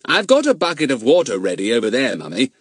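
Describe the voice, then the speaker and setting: A man's voice: medium pitch, huge pitch swings, quick pace, husky, no echo, clean studio sound, pitched above the performer's own voice. A man voicing a cheeky schoolboy who answers everyone with exaggerated politeness. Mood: cheeky polite.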